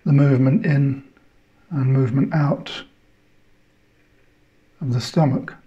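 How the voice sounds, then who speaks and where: A man guides a meditation with a very slow delivery and a soft-edged, mellow tone. He speaks in short, measured phrases separated by long pauses. The recording sounds slightly dull and muffled. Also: medium pitch.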